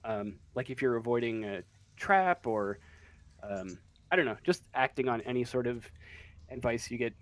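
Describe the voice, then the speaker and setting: A man's voice: mid-range voice, animated, normal speed. A man improvising tabletop game narration as game master, hesitant and often searching for words.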